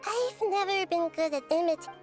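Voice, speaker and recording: A gentle, childlike young goddess from a video game. The voice is high and measured, explaining things calmly and wisely, over clear background music. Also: female voice.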